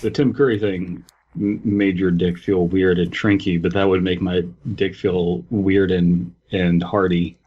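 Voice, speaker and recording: A man's voice: low-pitched, little pitch movement, unhurried, dull audio. A man co-hosting a comedy podcast in casual banter, riffing with crude humor. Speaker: podcast co-host.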